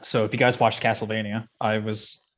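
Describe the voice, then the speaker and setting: A man's voice: lowish voice, level tone, normal speed, a bit bright. Slangy man in casual podcast chat. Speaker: podcast host. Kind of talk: casual chat about games and films.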